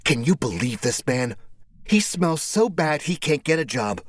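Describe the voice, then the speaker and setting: Man putting on a high, theatrical, nagging woman neighbor. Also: sing-song, measured pace, dry recording, clean studio sound. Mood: exasperated, indignant. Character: flirty, complaining, wrinkled woman neighbor, exasperated and chatty.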